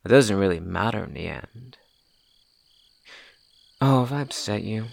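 Guy doing a creepy bitter jester monologue for an audio drama, theatrical and mocking, gravelly, super dry close sound. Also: animated, measured pace, dry recording, full character voice. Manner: brooding, mocking, with swings in loudness and pitch. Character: infamous jester, merry facade over bitter nihilistic darkness.

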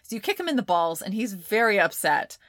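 A woman's voice: medium pitch, very animated, brisk, a bit bright, no echo, studio quality. A woman narrating as game master of a tabletop game, laughing often and calling for dice rolls.

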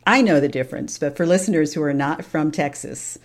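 A woman's voice: low voice, a little fast. A woman hosting an interview podcast, asking questions in a curious, encouraging way.

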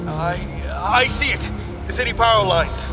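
A man's voice: high voice, lively intonation, a little slow, over music. A man playing a defiant, reckless rebel field agent with an urgent, intense delivery in a sci-fi radio drama.

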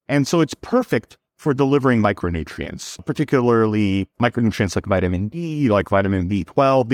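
A man's voice: mid-range voice, no room sound, clean studio sound. A middle-aged man, a company founder born in Serbia and raised in New York, answering interview questions fluently but often repeating words as he goes. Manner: fluent storytelling with frequent word repeats.

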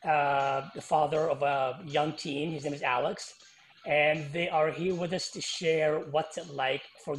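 Host type: chatty male podcast interviewer, gravelly, slightly high, faint hiss behind. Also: low-quality recording. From United States. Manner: chatty, loose questioning with fillers. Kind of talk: casual interview.

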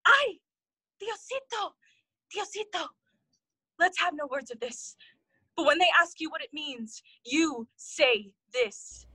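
A young noblewoman lost in grief and madness, high and slow in her speech. She wanders brokenly between English and Spanish in a Shakespearean audio play, fragile and close on a dry recording. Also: expressive, sharp tone, no room sound, heavily acted. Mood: pleading.

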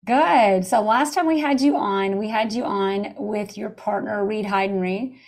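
A woman's voice: mid-range voice, unhurried, darkish tone. A woman hosting a podcast interview, asking chatty, enthusiastic questions over a video call. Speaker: podcast host. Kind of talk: casual interview.

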